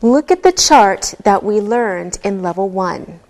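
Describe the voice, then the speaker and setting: A woman leads a beginner English lesson at a very unhurried pace. Her voice sits at a middling pitch. She pronounces each word deliberately and patiently, pausing often so learners can follow. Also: expressive, a little mellow. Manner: deliberate, clearly enunciated, patient. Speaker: English teacher. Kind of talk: slow, scripted ESL lesson delivery.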